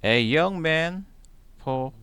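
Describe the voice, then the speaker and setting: A man reads scripture aloud extremely slowly, breaking it into short phrases with long pauses. His voice sits a little high, with a lively rise and fall in pitch. The delivery is halting and deliberate. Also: smooth. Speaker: Bible reader, channel host. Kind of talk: slow read-aloud of scripture.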